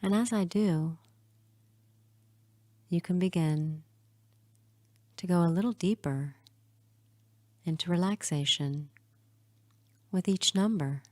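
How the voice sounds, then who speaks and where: Female meditation guide: very unhurried, soft and relaxed tone, hushed, soothing, lingering pauses between phrases. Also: lowish voice, no echo. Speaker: hypnotherapist guiding a meditation.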